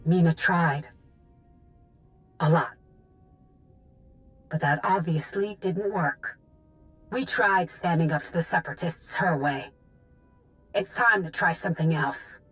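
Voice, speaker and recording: Tired defiant young rebel for a video game, kinda low voice, plain talking, bit of music under it. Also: female voice, slow, pauses often, fairly bright tone. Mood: bitter resolve. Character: weary, defiant young female rebel, bitter and determined. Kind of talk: video game dialogue acting.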